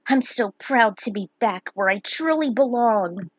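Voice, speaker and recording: Woman as young male hero, brave, earnest, storybook dialogue, breathy husk, higher pitch. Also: expressive, measured pace, no room sound, dull audio. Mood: earnest, proud.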